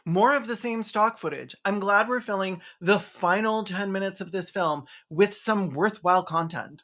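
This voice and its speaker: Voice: medium pitch, moderate pace, fairly bright tone. A video essayist narrating a movie recap in a sarcastic, mocking, scripted style with some swearing. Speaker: video essayist and film reviewer.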